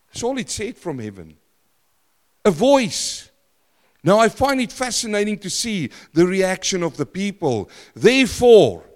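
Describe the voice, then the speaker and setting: A man preaching a sermon in a church, loud and emphatic, swinging from forceful declarations to hushed, quiet lines. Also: high-pitched. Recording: long hall reverb.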